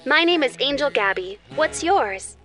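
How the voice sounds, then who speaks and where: Woman playing a gentle, higher-voiced cartoon guardian angel. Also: animated, measured pace. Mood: kindly, encouraging. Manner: soothing, instructive, addresses the young viewer directly. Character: gentle nurturing female angel host of a children's cartoon. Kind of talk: children's educational show dialogue. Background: background music.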